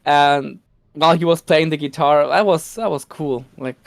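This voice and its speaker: Polish-native male guest, somewhat high and smooth, excited rambling storyteller. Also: moderate pace, no echo. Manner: excited storytelling with laughs and restarts. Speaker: podcast guest, cosplayer. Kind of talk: casual podcast chat.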